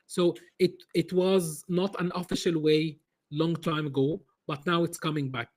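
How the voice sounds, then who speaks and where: A man answering interview questions in a halting way, with many restarts and repeated words. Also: fairly high pitch, fairly even intonation. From Egypt. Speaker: business consultant guest.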